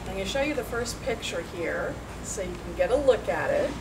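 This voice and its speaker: Female amateur storyteller for young children, expressive and deliberate, lively intonation, mic at a distance with clear noise. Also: mid-range voice. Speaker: school staff member reading a story. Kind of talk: read-aloud children's storytelling.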